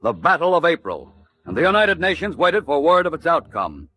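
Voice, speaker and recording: An actor playing a solemn, questioning narrator in a wartime documentary radio drama. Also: male voice, a little high, very animated, a little fast, bright, forceful tone, husky, full character voice. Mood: grave.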